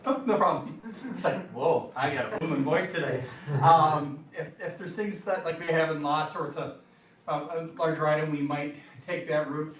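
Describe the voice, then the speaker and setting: Man explaining things in an echoey room. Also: a little high. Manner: casual, halting with fillers. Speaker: school superintendent. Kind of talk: explaining at a meeting. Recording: distant echoey room mic, faint noise.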